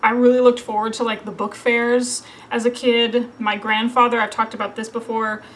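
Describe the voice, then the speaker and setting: A woman's voice: a little high, level tone, normal speed, fairly bright tone, a little hiss or hum, low-quality recording. A woman in her thirties from Minnesota, chatting casually to camera as a book vlogger, with lots of fillers and frequent laughs. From Minnesota, USA. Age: 30s.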